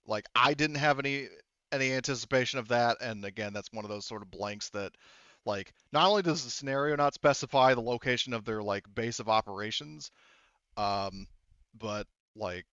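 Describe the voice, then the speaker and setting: A man running a tabletop game as game master, explaining things casually in a halting, repetitive way. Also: mid-range voice, bright, forceful tone, clear, resonant tone, dry recording, highs cut off. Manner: halting, explanatory, repeats himself.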